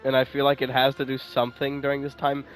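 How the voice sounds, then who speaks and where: A man's voice: mid-range voice, monotone, fast talker, rough recording. A man commentating casually on a video game as he plays, informal and sweary, often repeating his phrases. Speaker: game streamer. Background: faint game music.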